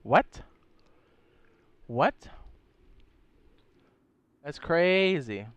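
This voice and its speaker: Hoarse man on a casual game stream. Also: on the high side, lively intonation, very slow, a bit bright, no echo. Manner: laid-back, slangy, frequent throat clearing. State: hoarse, sore throat, losing his voice. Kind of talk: casual live-stream chat, reading viewer comments.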